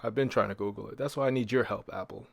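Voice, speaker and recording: A man's voice: fairly low pitch, fast, creaky, no echo. Casual male podcaster, dry close sound. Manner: conversational, rhetorical, repeats phrases for emphasis. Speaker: podcast host. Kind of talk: casual solo podcast monologue.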